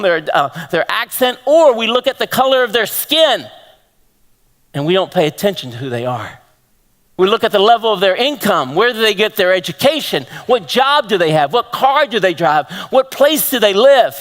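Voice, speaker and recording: A man with a Texan accent preaching a lively sermon to a congregation, throwing out questions to the room. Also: sits high. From Texas. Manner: animated, rhetorical questions to the congregation. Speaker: pastor. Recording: long hall reverb.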